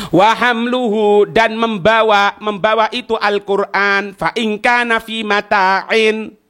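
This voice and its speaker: Male Islamic teacher, East Javanese Indonesian accent. High-pitched, sharp delivery, didactic, question-and-answer explanations of a text. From East Java, Indonesia. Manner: didactic, asks rhetorical questions and checks understanding. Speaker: Islamic teacher giving a religious study class. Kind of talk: religious lesson reading and explaining an Arabic text line by line.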